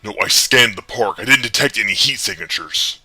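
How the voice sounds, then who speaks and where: A man playing a stern, intense vigilante hero in an audio drama, with a gruff, raspy voice and urgent delivery. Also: on the low side, lively intonation, fairly quick, sharp tone, no room sound, soft background music, heavily acted. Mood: urgent, defensive. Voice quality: gravelly, raspy.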